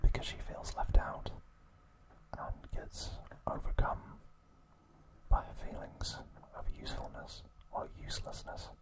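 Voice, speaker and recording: A man hosting an ASMR video, giving a trivia recap in a soft, breathy whisper with deliberate phrasing and pauses. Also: low-quality recording.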